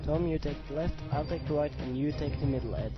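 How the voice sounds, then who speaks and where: A man playing a young, scheming, excitable slacker in a web cartoon, with casual comic delivery. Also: on the high side, quick pace, dull audio, over loud music, close to an everyday voice. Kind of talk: amateur web cartoon character acting.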